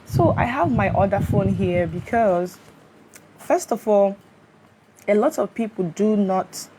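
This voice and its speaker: A woman's voice: mid-range voice, slow, poor audio. Chatty Nigerian woman on a live stream. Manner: chatty, often greets viewers and checks the sound. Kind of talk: casual live stream commentary. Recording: steady fan noise behind.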